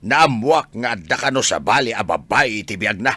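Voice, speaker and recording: A man playing a blunt, protective older father in a radio drama, rough-voiced, chiding and exclamatory. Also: on the low side, animated, moderate pace, sharp tone, dry recording. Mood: blunt, indignant.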